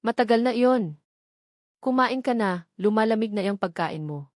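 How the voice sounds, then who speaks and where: A woman's voice: fairly high pitch, expressive, normal speed, darkish tone, no echo, natural voice. A guarded male janitor read by a woman narrator in a romance audio drama, curt and evasive. The recording is dry and close. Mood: evasive, cool. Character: guarded, secretive male janitor in a love story.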